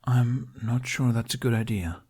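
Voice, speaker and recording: Casting: man as guarded young fantasy lad, wry, understated, quietly homesick, for audiobook fiction. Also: medium pitch, normal speed, slightly rough, voice pushed up, close to an everyday voice. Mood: guarded, wistful.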